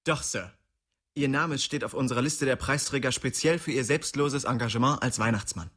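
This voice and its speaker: A man's voice: mid-range voice, normal speed, a bit bright, no room sound, clean studio sound, natural voice. An actor playing a wry young man who tells the story of his small-town youth in slangy radio drama narration. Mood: mock earnest. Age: young adult. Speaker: narrator and main character.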